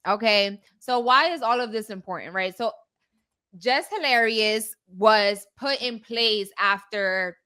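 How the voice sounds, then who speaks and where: A woman's voice: mid-range voice, a little slow, sharp tone, smooth. A woman casually recapping celebrity news as a host, often checking for agreement. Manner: conversational, often seeking agreement with "right?". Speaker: talk show host.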